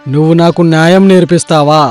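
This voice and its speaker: Man as an imperious, high-voiced cruel king. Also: steady tone, quick pace, a little mellow, smooth, soft background music, close to an everyday voice. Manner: imperious, menacing. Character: cruel, grasping conquering king.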